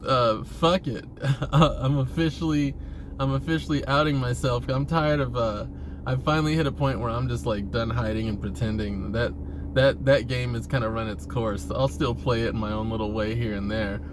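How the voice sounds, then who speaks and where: A man's voice: mid-range voice, steady tone, normal speed. A man giving a casual, rambling personal monologue in an informal, profane way, chuckling now and then. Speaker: vlogger talking about himself. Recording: clear vehicle-like ambient noise.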